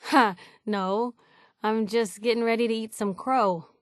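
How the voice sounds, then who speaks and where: An actress playing a brash, idealistic young woman reporter in a video game, with a conversational, sardonic and expressive delivery. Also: fairly high pitch, a little slow, dry recording, studio quality, lightly acted. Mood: warm, sincere. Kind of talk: video game companion dialogue, naturalistic acting.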